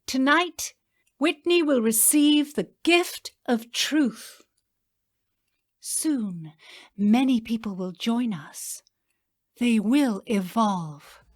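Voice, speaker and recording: A woman playing a commanding high priestess of a cult in an audio drama, theatrical, solemn and self-assured. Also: expressive, very slow, exaggerated voice. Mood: smug, prophetic.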